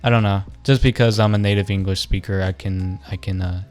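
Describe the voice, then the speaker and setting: Low-voiced young man, rambling and hesitant. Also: fairly even intonation, brisk, darkish tone, faint music behind. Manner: rambling, hesitant, many restarts, joking. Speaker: podcast co-host. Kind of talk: casual chat.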